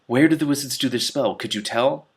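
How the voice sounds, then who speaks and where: Guy reading as a practical witch lady in a storybook, level-headed, bit husky. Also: mid-range voice, brisk, pitched above the performer's own voice. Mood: probing.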